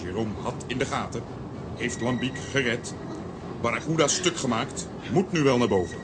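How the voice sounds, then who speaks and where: A man playing a broad, calm strongman hero who talks in clipped telegram sentences, in a children's audio drama. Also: medium pitch, normal speed, heavy background noise, natural voice. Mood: matter-of-fact. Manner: clipped telegram sentences without pronouns, talks of himself by name.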